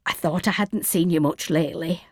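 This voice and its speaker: A woman's voice: on the low side, normal speed, darkish tone, dry recording, pitched below the performer's own voice, lightly acted. A woman acts out an old Yorkshire lady from next door in an audiobook. She is kindly and familiar, chatting with homely concern. The sound is dry, with no room around it. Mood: chatty concern. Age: older.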